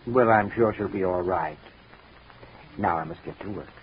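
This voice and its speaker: An actor playing a brooding, soothing composer in a 1940s radio drama, speaking in a measured, theatrical way. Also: male voice, low voice, natural voice. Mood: irritated, placating. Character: brooding man composer, soothing and secretly probing. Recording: noisy muffled 1940s radio recording.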